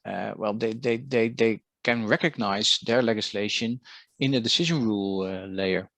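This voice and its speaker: Halting man, low and creaky. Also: a bit bright, no room sound. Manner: hesitant, halting explanation with repeated words. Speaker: guest speaker. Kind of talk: technical discussion.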